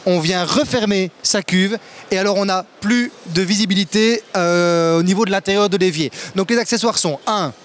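A man in his thirties hosting a casual product demo at a trade fair, lively and questioning, with some stammers. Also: high voice, normal speed, slight background noise. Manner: lively, enthusiastic, asks questions, stammers at times. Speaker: kitchen store host. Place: large indoor trade fair hall. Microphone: handheld mic.

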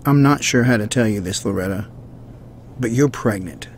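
Grave male doctor voiced by an actor, gently apologetic. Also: fairly low pitch, normal speed, a little hiss or hum, lightly acted. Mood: grave, careful. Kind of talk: visual novel voice acting.

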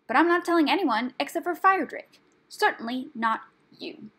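A woman's voice: high voice, expressive, moderate pace, pushed and bright, voice pushed up. A woman voicing a cross, indignant female rat in a storybook, muttering, hissing and exclaiming. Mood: angry, snappish. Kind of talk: audiobook character voice.

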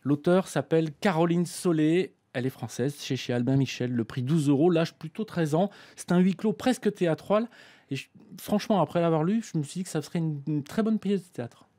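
A man's voice: fairly high pitch, fairly quick, warm tone. A middle-aged man presenting a scripted book review in a radio studio, reading passages aloud. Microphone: studio microphone, headset monitoring.

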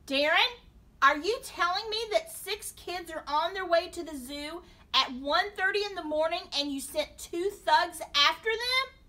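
Exasperated mom, read by a woman in a story, sharp and accusing, slightly off mic. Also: on the high side, expressive, takes it slowly, recorded from a distance, full character voice. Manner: urgent, accusing. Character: sharp, suspicious mother confronting her son.

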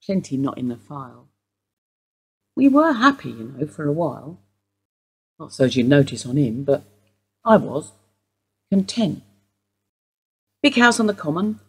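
A woman's voice: sits low, big pitch swings, measured pace, long pauses, breathy edge, full character voice. A woman acting a wistful widowed housewife questioned by police in a radio play, rambling through memories. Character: widowed English housewife, Mrs Steele, wistful suspect.

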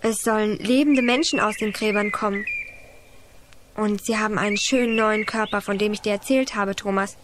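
A woman's voice: medium pitch, moderate pace, noisy background, close to an everyday voice. A female performer playing an earnest, devout child who explains things in a children's radio play. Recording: 1980s radio play, birdsong behind.

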